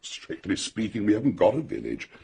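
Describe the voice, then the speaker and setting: A blunt, well-bred English gentleman in a radio mystery. His voice is low and very husky, swinging widely in pitch as he speaks in a clipped, forthright way. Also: wildly swooping pitch, brisk, heavily acted. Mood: grave, forthright. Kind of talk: radio drama acting.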